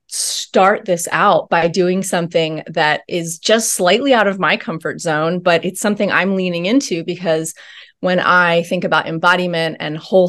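A woman talking as an interview guest in a thoughtful, earnest way with many pauses and ums. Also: on the low side, a bit bright. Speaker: podcast guest. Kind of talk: reflective interview talk.

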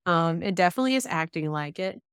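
A woman's voice: lowish voice, animated, fast, clear, resonant tone, dry recording, clean studio sound. A woman in her thirties from Georgia with a Southern accent, talking easily and poking fun at herself as a podcast guest. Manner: easygoing, laughs, self-deprecating. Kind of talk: casual interview chat.

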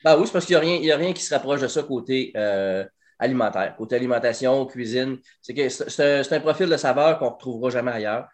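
A man in his forties with a Québécois French accent, a guest talking about his barbecue company in a casual interview, fluent and lively with plenty of hesitations. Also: mid-range voice, quick pace. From Quebec. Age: 40s. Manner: animated, fluent, frequent "euh" and hesitations.

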